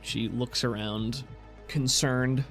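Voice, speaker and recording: A man runs a tabletop roleplaying game, describing each scene in a conversational, improvised way. His voice is mid-pitched, with faint music behind it. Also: steady tone, takes it slowly, a bit bright. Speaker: game master.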